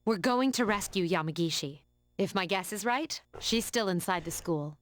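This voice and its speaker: Woman acting as stern, commanding student leader, low dry voice. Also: animated, no echo, close to an everyday voice. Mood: resolute. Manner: formal, authoritative, measured. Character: stern, commanding female high school senior, student council leader.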